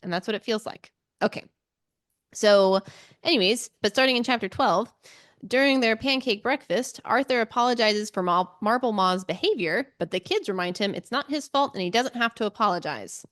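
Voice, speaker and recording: A woman's voice: medium pitch, moderate pace, fairly bright tone. Chatty woman recapping a book casually. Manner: chatty, informal asides, giggly. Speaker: podcast co-host.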